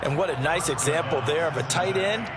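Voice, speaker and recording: A man's voice: fairly high pitch, fairly quick, very noisy, natural voice. A man playing an opinionated football analyst in a video game, explaining in a conversational, emphatic way. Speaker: color commentator.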